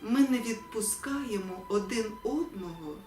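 Slow, solemn woman reciting in echoey room. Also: medium pitch, animated, warm tone. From Ukraine. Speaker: poetry reader. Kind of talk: solemn poetry recitation with spoken introduction. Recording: echoey room, distant mic, music behind.

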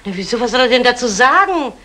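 A woman's voice: on the high side, animated, fast talker, pushed and bright. An actress playing a chatty, coaxing middle-aged mother in a vintage German radio comedy. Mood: coaxing, flattered. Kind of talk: 1960 German radio comedy crime play.

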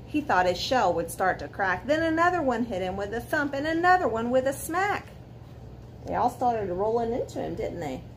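A woman in her forties reads a children's bedtime story aloud with dramatic emphasis, pausing to put questions to young listeners. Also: on the high side, big pitch swings, poor audio. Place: living room at home beside a fireplace. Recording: clear background noise, room ambience.

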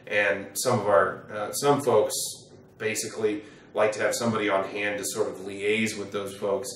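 A middle-aged American man, an attorney explaining to camera in a measured, wordy way in an office. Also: fairly low pitch, little pitch movement, fairly bright tone, slight background noise. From United States. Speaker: attorney, presenter. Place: small wood-panelled office, indoors. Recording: roomy, echoing sound from a distance.

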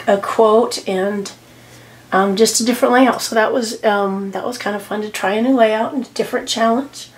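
A middle-aged woman with a hoarse voice from a cold, chatting casually as she walks through her journal pages, with lots of ums and restarts. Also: medium pitch, poor audio. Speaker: journaling vlogger. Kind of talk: casual tutorial walkthrough. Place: home desk, camera over a tablet.

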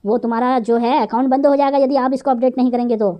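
A woman's voice: high voice, steady tone, fast, dull audio, natural voice. A woman voicing a pushy male phone scammer who poses as an officious bank manager in a comic cartoon. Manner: persistent, wheedling, officious.

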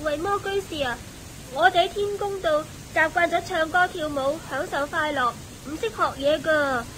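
A woman's voice: high-pitched, moderate pace, fairly bright tone, rough recording. An actress playing a young, innocent, curious heavenly maiden with a girlish, questioning manner in a radio drama. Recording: faint hiss and ambience.

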